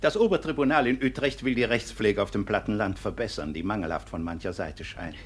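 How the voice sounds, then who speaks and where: Low, husky, dignified court inspector. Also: male voice, a little fast. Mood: formal, courteous. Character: dignified male visiting court inspector, courteous but exacting. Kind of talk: 1950s German radio play acting in verse. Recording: vintage 1951 radio recording.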